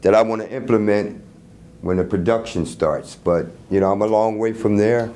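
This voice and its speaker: A middle-aged man casually explaining his product in an interview, halting and repeating words as he goes. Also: on the low side, fairly even intonation, mellow. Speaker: loudspeaker creator being interviewed. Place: hotel room at an audio show. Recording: noisy room ambience.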